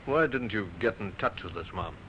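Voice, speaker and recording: An actor playing a calm, methodical police inspector who questions in a measured way in an old radio drama. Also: male voice, lowish voice. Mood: sombre. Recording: dull, noisy old radio recording.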